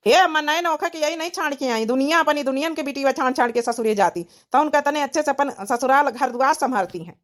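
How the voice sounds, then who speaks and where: Woman voicing a high-voiced, grumbling Awadhi young husband. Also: fast, hardly any pauses, natural voice. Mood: indignant, angry. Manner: indignant, complaining rural speech. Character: grumbling, hot-headed young village husband and son. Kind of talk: Awadhi comedy cartoon voice acting.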